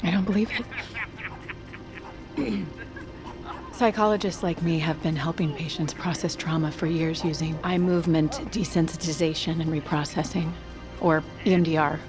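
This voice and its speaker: A woman's voice: sits low, fairly even intonation, moderate pace, long pauses, music in the background, natural voice. An actress playing an assertive, articulate woman psychologist in a modern audio drama, earnest in her delivery. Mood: earnest, explanatory.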